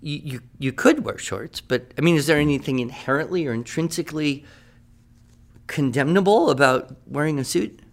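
A man's voice: a little high, moderate pace, gravelly. A man playing a writing teacher who leads a class in an upbeat, encouraging and wordy way. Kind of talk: scripted classroom radio drama.

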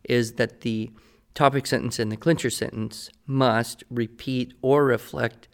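A man's voice: medium pitch, fairly even intonation, unhurried, creaky. A man with a mid-range voice speaks as a teacher on a podcast. He is conversational and playful, laying out explanations in a didactic, step-by-step way. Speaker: writing teacher answering listener questions.

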